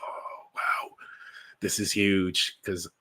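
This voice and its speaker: Low-pitched, informal Irish man. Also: level tone, very slow, sharp tone, in a whisper. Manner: enthusiastic, informal, sweary. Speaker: podcast host. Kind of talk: casual conversational interview.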